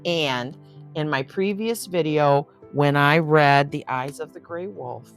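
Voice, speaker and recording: A woman's voice: low voice, takes it slowly, soft background music. An older woman reading picture books aloud as host, with effusive, exclaiming asides spoken straight to young listeners. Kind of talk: storybook read-aloud with chatty asides.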